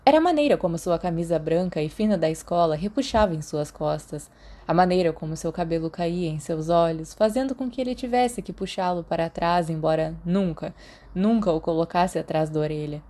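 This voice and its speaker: A woman's voice: on the low side, a little fast, dark tone. A woman with a Brazilian accent reading a story aloud as scripted audiobook narration.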